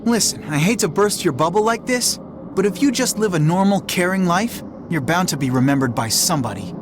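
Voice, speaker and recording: A man's voice: sits high, lively intonation, a little fast, noisy background. A man playing a plain-spoken, good-hearted young hero in a video game cutscene, casual, slangy and frank. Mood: unimpressed, frank.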